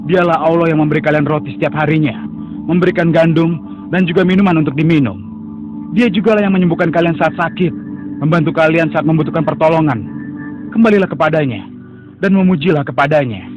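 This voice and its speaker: A man plays an earnest young prophet in a dubbed cartoon, speaking in a fairly high voice at a quick clip. His pleas and warnings come through dull, lo-fi audio with music playing behind them. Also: close to an everyday voice. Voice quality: earnest, pleading and admonishing. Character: young male prophet, earnest preacher to idol worshippers. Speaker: voice actor.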